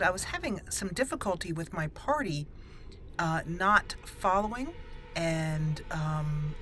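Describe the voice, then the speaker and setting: A woman's voice: on the low side, sharp tone. A woman hosting a roleplay video game series, chatting casually with frequent fillers and self-corrections. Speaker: let's play host.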